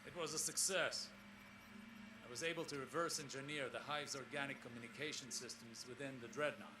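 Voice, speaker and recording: An actor playing a weary, scholarly explorer in a science fiction game, recording a log in a measured, grave, formal way with brooding pauses. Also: male voice, medium pitch, fairly even intonation, noisy background, close to an everyday voice. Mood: urgent, troubled. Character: weary, scholarly male sci-fi explorer, solemn and troubled.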